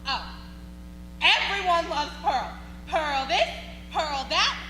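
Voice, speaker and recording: A woman's voice: very high-pitched, animated, slow, exaggerated voice. A woman acting a jealous, put-upon fairy-tale mother in a theatrical, complaining manner. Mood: resentful. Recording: echoey room with music behind.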